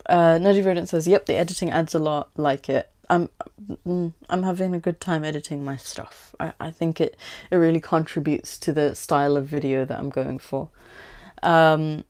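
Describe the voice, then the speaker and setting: A woman's voice: low-pitched, a little mellow. A woman with a London British accent, hosting a livestream with story reading and casual chat.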